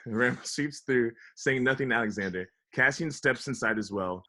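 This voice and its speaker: A man's voice: mid-range voice, moderate pace, bright, forceful tone. A man reading out stage directions as narrator for a comedy script table read.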